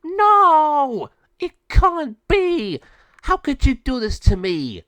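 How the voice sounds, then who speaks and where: A man voicing a wicked sorceress in raven form, gloating and furious, with cackling, drawn-out delivery in a storybook reading. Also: very high-pitched, huge pitch swings, a bit bright, no echo, voice pushed up, full character voice. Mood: furious, frustrated. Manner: cackling, drawn-out taunts and wails.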